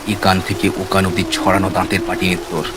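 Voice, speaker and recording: A man's voice: low voice, level tone, brisk. A man narrating a scripted horror story in a suspenseful, dramatic way. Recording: heavy rain and storm noise behind. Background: rain, storm effects.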